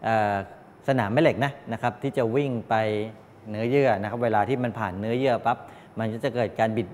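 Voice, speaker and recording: An older man giving a classroom lecture as a teacher, explaining in a halting way with frequent polite particles. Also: fairly even intonation, darkish tone. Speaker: technical college lecturer. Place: medium-sized indoor classroom with students. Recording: reverberant room, clear background noise, low rumble.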